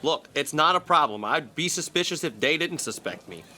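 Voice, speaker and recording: A man's voice: on the high side, lively intonation, normal speed, heavy background noise, natural voice. A man playing a weary, guarded gang leader in a period audio drama, curt, dry and exasperated. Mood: exasperated, brooding.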